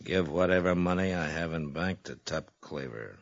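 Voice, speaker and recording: Deep, laconic frontier marshal. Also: male voice, creaky, no room sound. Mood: unhurried, probing. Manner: laconic, measured questioning. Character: calm, authoritative frontier town marshal. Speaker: lawman lead in a western drama. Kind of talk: 1950s radio western acting. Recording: dull, noisy old radio recording.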